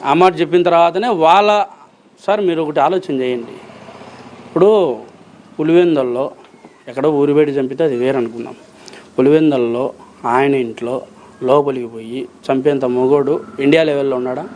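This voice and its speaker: A man's voice: medium pitch, moderate pace, long pauses, darkish tone, a little hiss or hum. A man with a Rayalaseema Telugu accent answering interview questions in a colloquial, emphatic and insistent way, repeating phrases and posing rhetorical questions. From Rayalaseema, Andhra Pradesh, India. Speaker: interview guest, approver witness in a criminal case.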